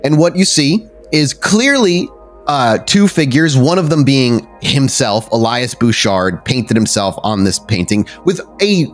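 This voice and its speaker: A man's voice: medium pitch, animated, a little slow, soft background music. A man improvising descriptive narration as the storyteller of a tabletop roleplaying game, in a casual, conversational way.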